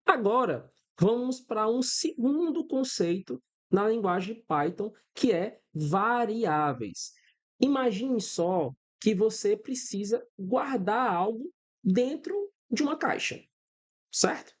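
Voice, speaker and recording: Guy in his thirties w brazilian accent, calm teacher voice walking thru code, lots of "ok?". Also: high-pitched, slow. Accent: Brazilian Portuguese. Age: 30s. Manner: patient, step-by-step explaining with everyday examples; checks in with listeners often. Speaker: programming instructor. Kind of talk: screen-recorded coding lesson.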